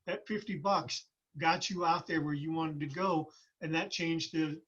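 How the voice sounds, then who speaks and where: A man in his fifties speaks reflectively as a guest, often restarting his sentences. His voice is somewhat high, bright and husky. The audio comes through dull and lo-fi. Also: fairly even intonation, a little slow. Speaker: podcast guest, military retiree. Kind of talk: casual conversation.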